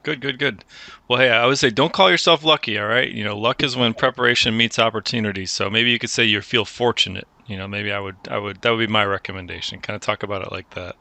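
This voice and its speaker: Low gravelly bright-toned man advising. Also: fast talker, dry recording. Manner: relaxed, repeats and restarts phrases, coaching tone. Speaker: host giving career advice. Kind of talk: conversational mentoring chat.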